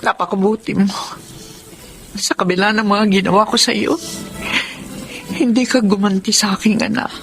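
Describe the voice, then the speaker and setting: A woman's voice: mid-range voice, steady tone, moderate pace, long pauses, a bit bright, faint music behind, close to an everyday voice, low-quality recording. A woman playing a remorseful middle-aged mother in a Tagalog radio drama, speaking earnestly and pleadingly. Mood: remorseful, grateful.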